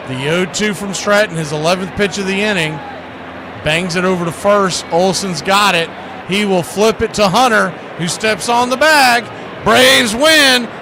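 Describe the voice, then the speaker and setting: Guy calling a ballgame on a really noisy stream, high voice, folksy, punches the big calls. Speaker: baseball play-by-play announcer. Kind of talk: excitable live sports commentary with casual banter.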